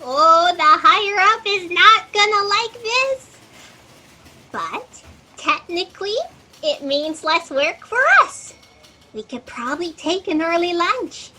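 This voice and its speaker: High, bright actress as scatterbrained fact-checker. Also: female voice, expressive, slow pace, full character voice. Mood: flustered. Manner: rambling, oblivious, chirpy. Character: scatterbrained, eager, chatty female fact-checker in a cosmic office. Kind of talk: comedic audio drama acting.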